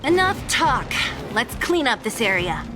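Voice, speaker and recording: A woman's voice: on the high side, very animated, measured pace, music in the background. A young woman playing a no-nonsense, skeptical fighter in a video game, speaking in a blunt, exasperated and direct way. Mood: brisk, suspicious. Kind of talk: animated video game voice acting.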